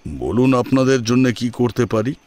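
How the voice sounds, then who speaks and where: A man's voice: medium pitch, expressive, normal speed, a little mellow, no room sound, lightly acted. An actor playing a proud, exacting father who formally questions suitors in an audio drama. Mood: proud, questioning.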